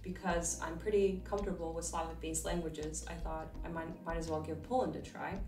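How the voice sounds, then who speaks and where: A young woman in her twenties whose first language was Russian talks easily and a little low, with plenty of fillers and restarts. She sounds set back from the mic in an echoing room, with music playing beneath her voice. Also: brisk, recorded from a distance. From California, USA. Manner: relaxed, chatty, with frequent fillers and restarts. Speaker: interview guest, vet student. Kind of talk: casual interview chat.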